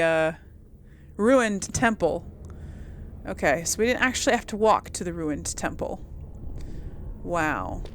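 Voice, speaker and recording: A woman's voice: lowish voice, slight background noise. A woman hosting a casual let's play, talking in an informal, hesitant, chatty way. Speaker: gaming video host. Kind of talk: casual let's play commentary with role-played replies. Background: video game ambience.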